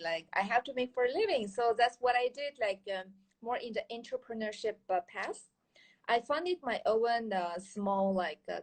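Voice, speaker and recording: Earnest Kyrgyz woman, halting speech. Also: a little high, low-quality recording. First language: Chinese. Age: 30s. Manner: earnest, halting with many fillers and restarts. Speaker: guest. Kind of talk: casual interview talk.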